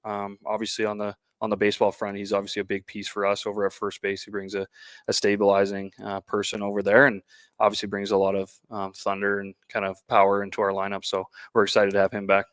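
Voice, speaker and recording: A Canadian man speaks as a coach in a sports interview, in a low voice with very little melody. He pauses often and feels his way through his answers. The sound is dry, with no room around it. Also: completely flat delivery, fairly bright tone, clear, resonant tone, no echo. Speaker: baseball coach being interviewed.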